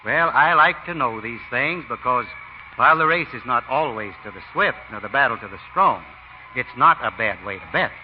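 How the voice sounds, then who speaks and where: An actor playing a folksy, smooth-talking deacon who is a sly card sharp, in vintage radio style with preachy delivery. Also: male voice, mid-range voice, lively intonation, normal speed, a little hiss or hum, lightly acted. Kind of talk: 1930s-40s radio acting. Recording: muffled old radio broadcast.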